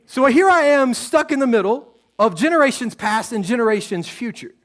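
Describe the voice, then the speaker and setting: A man, preaching a sermon on a church stage in a relaxed, joking, conversational way. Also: extremely high pitch, moderate pace. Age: 30s. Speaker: pastor.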